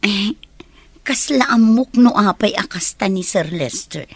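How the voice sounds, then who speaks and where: A woman's voice: medium pitch, lively intonation, measured pace, slightly rough, no room sound. A woman playing a friendly, loyal and chatty female teacher in an audio drama. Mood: concerned. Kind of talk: Ilocano audio drama acting.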